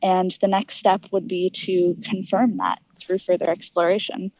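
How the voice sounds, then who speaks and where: A woman's voice: on the low side, level tone, normal speed, darkish tone, dry recording. Young woman interviewee on dull lo-fi audio. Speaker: interview guest, aspiring astronaut. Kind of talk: articulate interview answers.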